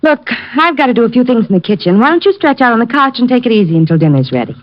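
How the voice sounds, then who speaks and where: A woman's voice: fairly high pitch, big pitch swings, fast. A woman acting a detective's affectionate, breezy, lightly teasing sweetheart in an old radio drama. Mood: breezy, caring. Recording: muffled old radio recording.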